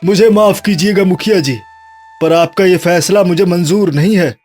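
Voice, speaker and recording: A man's voice: high-pitched, moderate pace, darkish tone, soft background music. A man voicing a righteous, protective young brother, earnest and firm, in a cartoon moral story. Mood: resolute.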